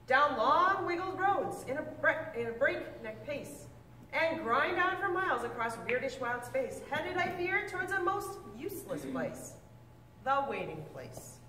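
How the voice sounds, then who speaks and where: Expressive woman reading a storybook aloud. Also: measured pace, slight background noise. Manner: expressive storybook reading with big pitch swings; stumbles and corrects herself. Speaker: reader. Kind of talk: reading a picture book aloud. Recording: echoey room, mic at a distance.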